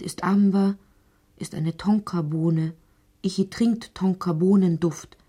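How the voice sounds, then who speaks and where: A woman narrating a literary audio drama in a breathy voice that often drops toward a whisper, reciting deliberately and poetically. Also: on the low side, warm tone, no echo, studio quality.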